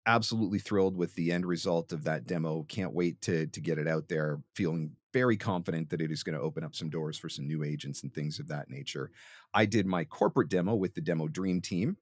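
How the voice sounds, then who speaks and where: A man's voice: low voice, brisk, no echo. A man hosting an interview as a voiceover coach, giving conversational advice in an emphatic, explanatory and encouraging way.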